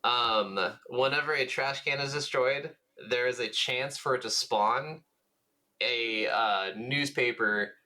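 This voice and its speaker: A man's voice: mid-range voice, slow pace, sharp tone. A man co-hosting a podcast in a casual gaming chat, speaking informally with some mild swearing. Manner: informal, mildly profane. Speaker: podcast co-host.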